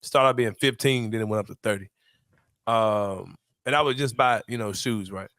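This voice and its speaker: A man in his forties from Memphis, hosting a sports podcast in a relaxed, slangy, laughing way. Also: on the low side, normal speed, no echo, clean studio sound. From Memphis, USA. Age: 40s. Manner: laid-back, slangy, laughs often. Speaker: podcast host. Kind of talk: casual sports podcast chat.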